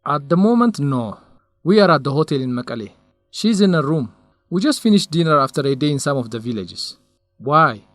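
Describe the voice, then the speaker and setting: An actor playing a caring, concerned young man in a radio drama, speaking in simple, deliberate phrases. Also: a little high, animated, dry recording, soft background music, close to an everyday voice. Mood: attentive. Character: caring, concerned young male research companion and friend. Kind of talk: English-learning radio drama acting.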